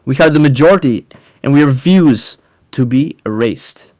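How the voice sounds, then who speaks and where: A man delivering a polemical monologue as an online commentator, speaking emphatically and rhetorically with deliberate pauses on key words. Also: mid-range voice, expressive, darkish tone, no echo. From North Africa. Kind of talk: polemical monologue to camera, partly scripted.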